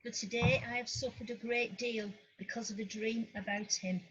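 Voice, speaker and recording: A woman playing a governor's troubled wife in a dramatised scripture reading, with a husky voice. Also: a little high, fairly even intonation, moderate pace, dull audio, over a noisy crowd, lightly acted. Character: troubled wife of a Roman governor pleading by message.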